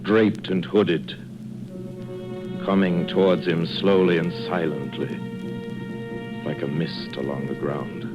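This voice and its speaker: An actor playing a solemn storyteller, narrating a radio ghost tale in a measured, ominous manner. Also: male voice, low voice, steady tone. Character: solemn narrator of a dark, silent phantom's approach. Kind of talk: scripted radio drama narration. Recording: muffled radio recording under loud music.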